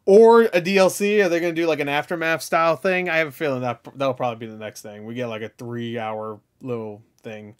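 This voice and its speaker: A man's voice: medium pitch, steady tone, a little fast, few pauses, clear, resonant tone. A man hosting a reaction video with casual, joking commentary, irreverent and slangy with some swearing.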